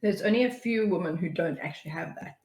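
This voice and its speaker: A woman with a high-pitched voice chatting candidly as co-host. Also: fast, darkish tone, echoey room. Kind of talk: casual chat.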